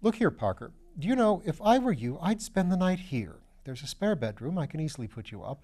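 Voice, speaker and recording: Storybook lead: man as a flippant aristocratic sleuth, shrewd, breezy, lifted pitch. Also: big pitch swings, brisk, warm tone. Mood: urgent, assured. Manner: breezy, self-deprecating, assured.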